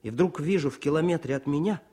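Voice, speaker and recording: An actor playing a young, modest, cheerful wartime poet and officer in a Soviet radio drama, speaking with expressive eagerness. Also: male voice, a little high, fast, husky. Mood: animated storytelling. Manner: expressive, eager, declaims verse.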